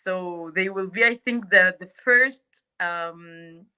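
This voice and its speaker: An Egyptian woman, a native Arabic speaker, talking haltingly as a parent on a video call panel. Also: on the low side, pushed and bright, smooth. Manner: halting, searching for words.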